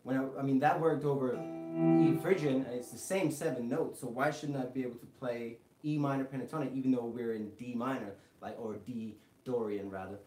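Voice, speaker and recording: Relaxed man with a British-American accent. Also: medium pitch, brisk. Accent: British English mixed with American. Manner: relaxed and rambling, many fillers and restarts. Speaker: guitar teacher hosting a live stream. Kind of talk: live-streamed lesson, chatting with viewers. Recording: roomy echo, faint guitar behind.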